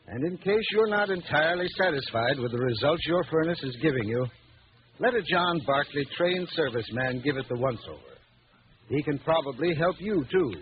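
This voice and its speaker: A man giving a scripted 1940s radio advertising talk as a sponsor's expert, in a folksy, conversational manner. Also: on the high side. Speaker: sponsor's home heating expert. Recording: muffled old radio, faint noise.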